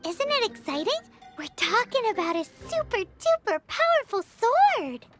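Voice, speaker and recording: Squeaky, excitable little fairy girl. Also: measured pace, soft background music, full character voice. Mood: excited, boastful. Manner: exclamatory childish slang, dramatic exaggeration. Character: excitable childlike fairy girl companion, bubbly, boastful, impatient. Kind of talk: video game voice acting.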